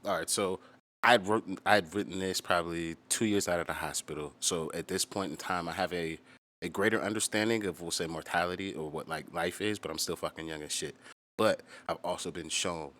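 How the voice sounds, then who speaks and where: A man's voice: fairly quick, fairly bright tone. A young man chatting casually as a musician explaining his own lyrics, slangy, emphatic and full of profanity. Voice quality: low-pitched.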